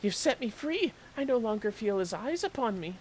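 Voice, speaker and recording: A man's voice: high voice, big pitch swings, moderate pace, slight background noise, voice pushed up, low-quality recording. A man voicing a relieved, grateful patient in a fantasy game, dramatic and exclaiming. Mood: overjoyed relief.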